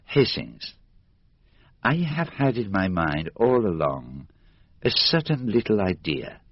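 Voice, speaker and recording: Radio drama: shrewd middle-aged male detective, Belgian French accent, formal and deliberate, animated intonation, dry muffled recording. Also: fairly low pitch, slightly rough, no echo, exaggerated voice. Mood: brooding. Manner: deliberate, formal, precise phrasing.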